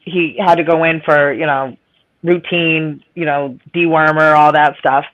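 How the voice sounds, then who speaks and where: A woman with a raspy voice and a strong Boston accent, chatting casually and laughing a lot. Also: low-pitched, steady tone, measured pace, fairly bright tone, poor audio. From Massachusetts, USA. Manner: chatty, laughs often, repeats herself. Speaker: chat room participant. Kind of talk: casual chat.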